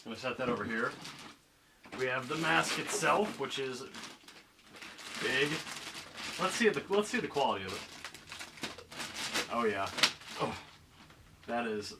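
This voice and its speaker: Male reviewer, mid pitch, casual and hesitant unboxing talk, noticeable background noise. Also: expressive, unhurried, pauses often. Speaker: YouTube product reviewer and host. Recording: clear background noise, clicks.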